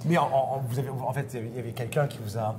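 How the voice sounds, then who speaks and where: A man hosting a casual on-location interview, often halting as he searches for words. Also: mid-range voice, fairly even intonation. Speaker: interviewer and host. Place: restaurant. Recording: clear background noise and hum.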